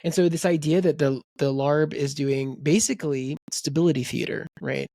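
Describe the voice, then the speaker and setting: A man's voice: a little high, normal speed, creaky, no echo, clean studio sound. A man co-hosting a book podcast, rambling in casual chat and quoting people in voices. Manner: rambling, animated, quotes people in character voices. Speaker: podcast co-host.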